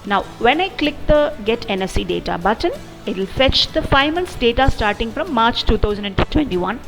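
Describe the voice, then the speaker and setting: A woman narrating an explanatory software demo in a methodical, step-by-step way. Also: fairly high pitch, lively intonation, moderate pace. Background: background music.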